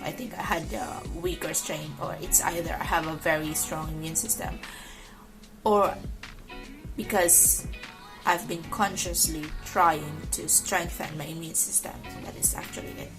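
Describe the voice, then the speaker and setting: A young woman speaks slowly and haltingly from a couch at home, her somewhat low voice rough and hoarse from a lingering cough. Clear music plays behind her as she talks. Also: rough recording. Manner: slow, hesitant, many ums and restarts. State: recovering from illness, dry cough. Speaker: ICU nurse vlogger. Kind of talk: casual vlog monologue.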